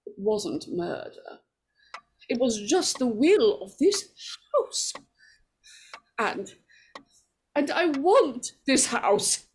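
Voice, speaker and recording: A woman's voice: high voice, sing-song, extremely slow, long pauses, a little hiss or hum, heavily acted. A woman playing a nervous, pleading, scheming woman in 1940s radio horror style, stammering and repeating words. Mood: scheming, uneasy.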